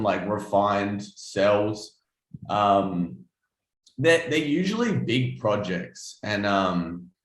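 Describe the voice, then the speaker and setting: A man's voice: fairly low pitch, echoey room. A man in his twenties with an Australian accent, a guest artist chatting casually in an interview, laid-back with many ums and restarts. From South Australia.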